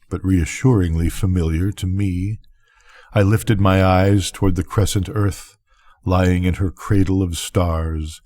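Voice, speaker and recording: An older man reading a bedtime story as scripted narration, with measured, deliberate phrasing. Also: low voice, warm tone. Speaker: reader of bedtime stories.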